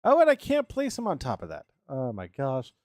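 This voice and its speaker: A man's voice: medium pitch, huge pitch swings, moderate pace, no room sound. A man in his thirties streaming a building game, chatting to himself and his viewers, humming filler syllables and repeating words as he works. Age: 30s. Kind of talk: casual live-stream commentary while building in a game.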